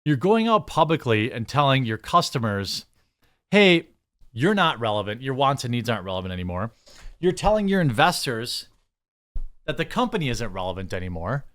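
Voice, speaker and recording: A man's voice: mid-range voice, sing-song, normal speed, a bit bright. An American man giving opinionated news commentary, reading articles aloud and reacting with blunt, sarcastic, exasperated asides. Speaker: commentary show host.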